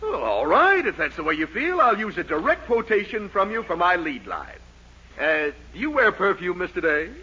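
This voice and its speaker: An actor playing a pushy, fast-talking newspaper reporter with a brash, declaiming manner in 1940s radio comedy. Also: male voice, high voice, sing-song, bright, forceful tone, slightly rough, heavily acted. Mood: brash, triumphant. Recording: muffled, noisy 1940s radio recording.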